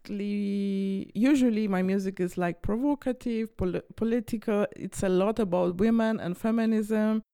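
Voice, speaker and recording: A woman speaking English with a Persian accent, pausing often between short phrases as she answers questions. Her voice has a gravelly fry and a clear, resonant core. The recording is close and dry. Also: medium pitch, mellow, no echo. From Iran. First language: Farsi. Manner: halting, phrase by phrase, with many pauses and fillers. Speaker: interview guest, singer.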